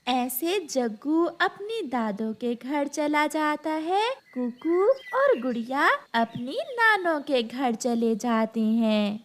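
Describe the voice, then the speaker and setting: Casting: female storyteller for kids' tales, high-pitched voice, slow scripted delivery. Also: animated. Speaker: narrator. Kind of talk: scripted children's story narration.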